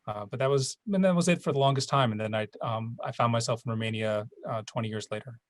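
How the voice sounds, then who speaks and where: A man telling a wry personal story at a quick clip. The sound is dry and close. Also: mid-range voice, dry recording. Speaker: translator, guest. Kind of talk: casual storytelling in conversation.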